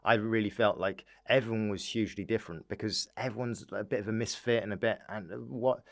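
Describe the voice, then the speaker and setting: Middle aged british guy bantering on a podcast, lowish smooth voice, really dry close sound. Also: fairly even intonation, a little fast, no room sound. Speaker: stand-up comedian, podcast guest. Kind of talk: casual chat.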